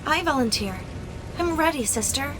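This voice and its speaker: A woman voices a devout young scribe sister with a high, earnest voice, reciting prayers with quiet conviction. Music plays under her lines. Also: expressive, moderate pace, low-quality recording. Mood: eager.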